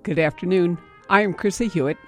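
A woman hosting a public radio arts program from the studio, speaking in a measured, articulate way as she introduces and interviews a guest. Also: fairly low pitch, soft background music. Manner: measured, articulate, spells things out. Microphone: studio microphone.

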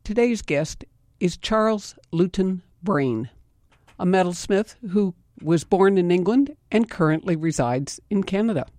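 A woman hosting a public radio arts program from the studio, speaking in a measured, articulate way as she introduces and interviews a guest. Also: on the low side, expressive, dark tone, no room sound. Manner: measured, articulate, spells things out. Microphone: studio microphone.

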